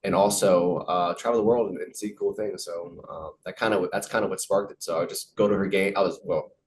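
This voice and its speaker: Deep-voiced young man, quick rambling speech. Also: slight background noise. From United States. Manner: relaxed, repeats words, many fillers. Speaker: professional soccer player. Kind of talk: casual interview answers.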